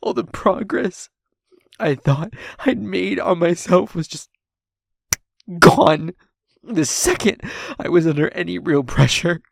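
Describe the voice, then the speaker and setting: An actor performs an anguished survivor in an audio drama, full of self-blame and apology. The voice is husky and gravelly, recorded very dry. The delivery is halting, raw and confessional. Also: medium pitch, expressive, dry recording, heavily acted. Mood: anguished outburst. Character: anguished, self-blaming, angry and guilt-ridden survivor, apologetic. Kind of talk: emotional audio drama monologue.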